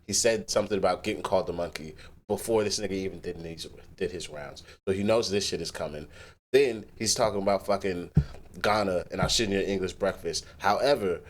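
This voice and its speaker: Low-voiced man reacting to rap battles. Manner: animated, swearing, pauses clip to comment. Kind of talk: casual reaction commentary.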